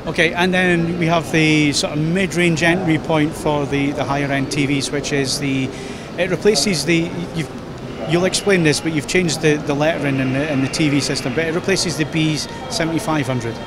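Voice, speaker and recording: A man's voice: a little high, fairly even intonation, normal speed. A man with a Scottish accent interviewing a guest at a trade show, asking chatty, informal questions. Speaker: tech website interviewer. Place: busy trade show hall. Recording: noisy trade show floor. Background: crowd chatter and hall noise.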